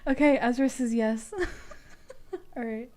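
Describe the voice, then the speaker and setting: A woman's voice: a little high, lively intonation, slow, mellow. A woman streamer in casual, giggly, playfully profane chat as she hosts a tarot reading.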